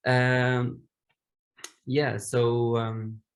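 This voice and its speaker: A young man whose first language is Domari, speaking carefully and with many pauses as a panelist on a video call. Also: mid-range voice, completely flat delivery. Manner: slow, careful, pausing often. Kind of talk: online panel discussion.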